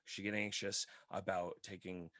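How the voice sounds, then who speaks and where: A raspy-voiced man hosting a podcast, rambling earnestly at length in casual chat. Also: low-pitched, little pitch movement, normal speed, fairly bright tone, no room sound, clean studio sound.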